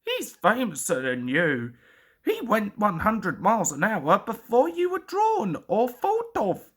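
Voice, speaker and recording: A woman's voice: mid-range voice, wildly swooping pitch, slow pace, slightly rough, exaggerated voice. A woman voicing a shy, earnest, modest male steam engine in a children's storybook. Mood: defiant.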